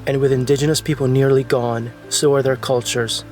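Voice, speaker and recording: A man's voice: medium pitch, little pitch movement, fairly quick, a little mellow. A man reading scripted documentary narration as the film's narrator. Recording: music behind the voice. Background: background music.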